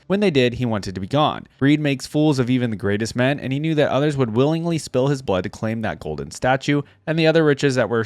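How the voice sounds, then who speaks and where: A man's mid-pitched voice with lively, swinging intonation, recorded dry and close. He sounds like an online reaction host, informal and conversational as he comments on and reads out game lore. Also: no echo. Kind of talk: casual reaction commentary mixed with story read-aloud.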